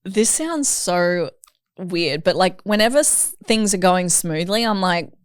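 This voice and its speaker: A woman's voice: on the low side, lively intonation, a little slow, clear, resonant tone, no room sound, studio quality. An Australian woman in her thirties with a thick Australian accent, hosting a podcast in a chatty, earnest way and laughing often. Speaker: podcast host. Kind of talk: casual chat.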